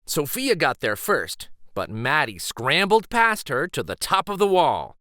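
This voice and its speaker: A man reading a children's picture book aloud in an expressive, deliberate storytelling style. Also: a little high, no room sound, clean studio sound. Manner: deliberate, animated.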